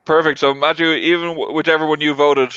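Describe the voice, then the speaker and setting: A man's voice: fairly high pitch, steady tone, a little fast, fairly bright tone, clear, resonant tone, no room sound, highs cut off. A man hosting a casual panel chat over an online call. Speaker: host of a film tournament show. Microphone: online group call.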